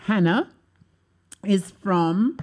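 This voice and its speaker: A woman's voice: mid-range voice, expressive, darkish tone. Chatty joking woman talk show host. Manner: chatty, joking, quick asides and back-channel affirmations. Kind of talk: casual interview chat.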